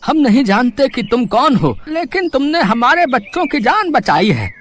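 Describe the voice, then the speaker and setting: A man's voice: very high voice, big pitch swings, normal speed, a bit bright, slight background noise, full character voice. A man voicing a stern but fatherly village headman in a cartoon story, emphatic and authoritative. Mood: earnest.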